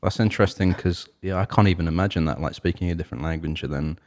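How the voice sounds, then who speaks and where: A man hosting a relaxed studio interview, asking rambling questions full of fillers and backing the guest with short replies. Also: low-pitched, quick pace. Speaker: interview host. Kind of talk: casual interview chat. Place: music studio.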